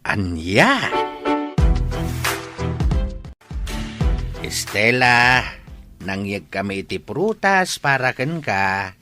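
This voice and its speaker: A man playing a jolly, obliging village neighbour in a radio drama, animated and reassuring. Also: mid-range voice, takes it slowly, music in the background. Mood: concerned. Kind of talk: Ilocano radio drama acting.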